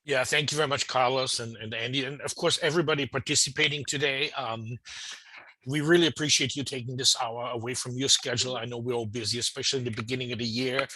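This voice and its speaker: A middle-aged man from Austria with an Austrian accent, introducing himself in a relaxed, friendly way during an online presentation. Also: medium pitch, quick pace, dry recording, low-quality recording. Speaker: cruise line vice president. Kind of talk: webinar introduction.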